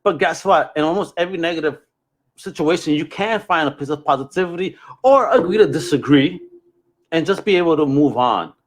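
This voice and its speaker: Expressive man with a higher-pitched voice. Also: fairly quick. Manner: expressive, informal, slangy. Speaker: podcast host. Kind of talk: casual chat.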